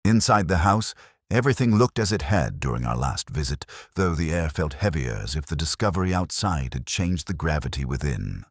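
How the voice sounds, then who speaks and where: A man with an exceptionally deep voice reads a brooding detective tale as its narrator. His delivery is measured and literary, with lively rises and falls in pitch, and the recording is close and dry. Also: no echo. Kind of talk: scripted first-person detective story narration.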